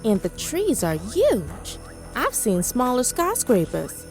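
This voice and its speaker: An actress playing a steady, dutiful wartime commando leader in an audio drama, composed and commanding. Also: female voice, on the high side, slow, soft background music, exaggerated voice. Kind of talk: scripted audio drama with first-person narration.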